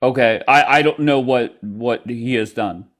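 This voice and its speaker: Male livestream host, mid-pitched, blunt and probing with callers. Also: a little slow, fairly bright tone. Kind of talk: casual live debate chat.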